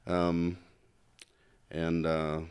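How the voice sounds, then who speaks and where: A man's voice: low voice, completely flat delivery, drawn-out words, darkish tone, clean tone. A middle-aged man from Utah, a candidate for office, talking bluntly in a casual interview with many fillers. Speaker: congressional candidate.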